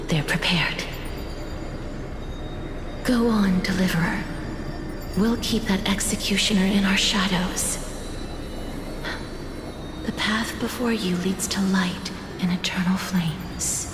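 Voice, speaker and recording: A woman's voice: mid-range voice, slow pace, long pauses, music in the background, lightly acted. A woman voicing a whispering maiden of the dead. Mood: solemn. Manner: hushed, half-whispered, grave. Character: solemn young woman who hears the dead. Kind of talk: video game voice acting. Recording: echoey room with loud score.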